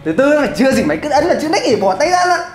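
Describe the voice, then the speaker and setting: A man's voice: very high voice, big pitch swings, racing. A man, bantering casually in a guessing game. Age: 20s. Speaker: contestant in a cartoon guessing game. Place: indoor studio, seated at a laptop. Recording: roomy echo, faint music behind.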